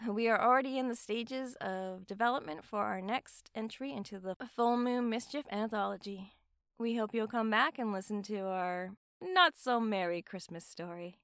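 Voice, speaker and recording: A woman's voice: fairly high pitch, clear, resonant tone, no echo, clean studio sound. A woman giving a friendly scripted outro as the creator of an audio drama.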